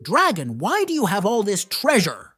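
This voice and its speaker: A woman with a breathy voice, voicing comic characters with an expressive delivery. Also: mid-range voice, normal speed. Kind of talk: comic dub acting.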